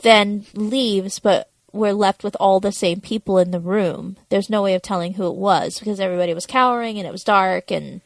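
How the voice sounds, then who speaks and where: A woman's voice: medium pitch, steady tone, moderate pace, creaky, few pauses, dry recording. A woman co-hosting a podcast, casually talking through the plot of a TV episode. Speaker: podcast co-host.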